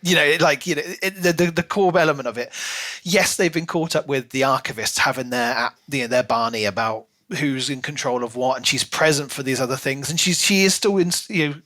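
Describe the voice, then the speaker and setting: Bright-voiced man in casual book discussion. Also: mid-range voice, a little fast, hardly any pauses. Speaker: podcast co-host.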